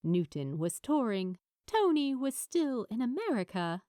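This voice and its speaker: A woman reading a story aloud as an expressive audiobook narrator, with dramatic, weighted pauses. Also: on the high side, no room sound, clean studio sound. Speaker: fan fiction narrator.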